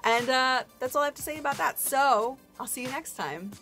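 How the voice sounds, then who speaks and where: A woman's voice: sits high, fairly quick, pushed and bright, soft background music. A woman hosting a book review in a casual, chatty way, with informal, self-deprecating, slangy asides.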